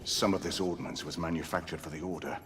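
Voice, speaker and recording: An actor playing a gruff, grave veteran knight in a video game, speaking with measured authority. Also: male voice, low-pitched, fairly even intonation, background noise, natural voice. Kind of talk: dramatic video game cutscene acting. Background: music.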